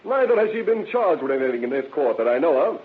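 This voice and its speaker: An actor playing a pressing, emphatic defense attorney in an old radio drama. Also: male voice, on the high side, big pitch swings, quick pace. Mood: formal objecting. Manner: pressing, emphatic cross-examination. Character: sharp, challenging male defense attorney. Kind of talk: 1940s radio acting. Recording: thin, muffled old radio recording.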